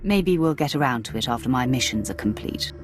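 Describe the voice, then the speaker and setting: A woman voicing a commanding, dryly confident female Sith warrior in a video game, with a measured, cool delivery. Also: a bass voice, animated, music in the background. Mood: composed approval. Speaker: player character in a video game. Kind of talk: scripted video game dialogue acting.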